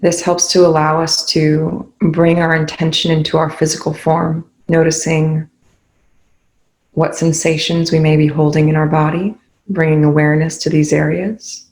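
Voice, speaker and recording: Meditation guide, calm and instructive, mid-pitched with noticeable vocal fry, leaving space between phrases. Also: moderate pace, a little hiss or hum, low-quality recording.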